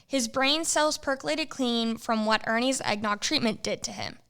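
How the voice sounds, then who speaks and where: A woman reads the narration of an amateur comedy audio drama in a matter-of-fact way. Her voice is crisp and bright and sits a little high. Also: normal speed.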